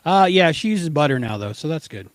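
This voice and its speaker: Higher-voiced man chatting casually, dry close sound. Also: sing-song, fairly quick, dry recording. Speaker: podcast co-host. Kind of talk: casual fan discussion.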